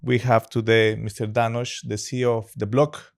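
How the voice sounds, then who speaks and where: A man's voice: medium pitch, little pitch movement, normal speed, creaky. A man hosting a podcast interview, upbeat and chatty, with loosely phrased English that drops small words. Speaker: podcast host. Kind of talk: casual interview chat.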